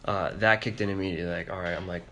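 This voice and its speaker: A soft-spoken, introverted man telling a story in a casual podcast chat, hesitant, with many fillers. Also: sits low, steady tone, rapid-fire, noisy background. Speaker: podcast co-host.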